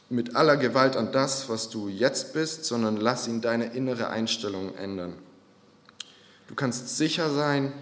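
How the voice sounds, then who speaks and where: A man's voice: lowish voice, a bit bright, roomy sound, in a large hall. A man preaching a sermon in an earnest, exhorting manner.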